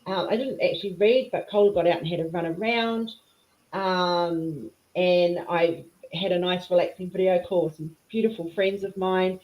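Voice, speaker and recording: A woman's voice: steady tone, normal speed, highs cut off. A middle-aged woman with a New Zealand accent tinged with Australian, chatting casually on a livestream in a sing-song voice that rises and falls. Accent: New Zealand, slightly mixed with Australian. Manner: sing-song, pitch going up and down. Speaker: livestream host, diamond painting artist.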